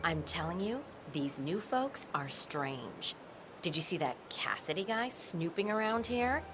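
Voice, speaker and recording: A woman's voice: on the low side, animated, measured pace, noisy background. A woman playing a nosy, suspicious suburban wife in a video game, gossipy and nagging in conversational dialogue.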